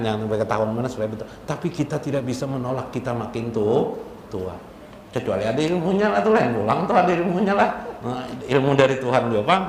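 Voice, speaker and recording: Banjar man preaching in an echoey room. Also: medium pitch. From South Kalimantan, Indonesia. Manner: conversational, jocular, punctuated with rhetorical questions and tag words. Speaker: Islamic preacher. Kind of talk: informal sermon with jokes, mixing local speech and Arabic phrases. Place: indoor religious gathering. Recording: echoey room with faint background noise.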